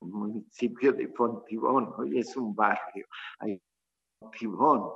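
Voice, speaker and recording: A man, a priest telling his life story in a radio interview, speaking haltingly and reflectively over a dull remote line. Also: on the low side, vocal fry. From Colombia.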